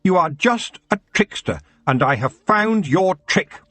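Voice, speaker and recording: A man voicing a polite but defiant young man in a storybook, reasoning calmly and standing firm. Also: medium pitch, normal speed, husky, no room sound. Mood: bold.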